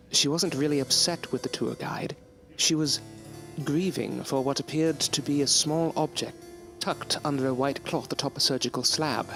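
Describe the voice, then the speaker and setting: A man playing a wistful, educated gentleman narrating his memories in an audio drama, formal and earnest. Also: mid-range voice, lightly acted. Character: wistful, erudite gentleman recalling his student days.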